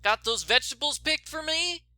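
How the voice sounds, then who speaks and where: A folksy, blunt ranch cook, acted out by a man, with a high, sharp voice. He sounds disapproving and draws out his words in a storybook style. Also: lively intonation, normal speed, no echo, full character voice.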